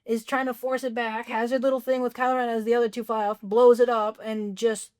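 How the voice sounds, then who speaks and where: A woman co-hosting a film podcast, sarcastically picking apart a movie and pitching better scenes. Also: flat delivery, a little fast, a little mellow. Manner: sarcastic, agreeing, rewriting scenes. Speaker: podcast co-host. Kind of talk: casual film critique chat.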